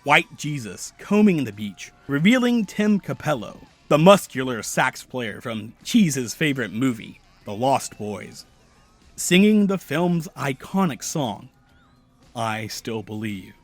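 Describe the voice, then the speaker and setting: A man narrating a video essay in a measured, analytical way. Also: mid-range voice, sing-song. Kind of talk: scripted narration.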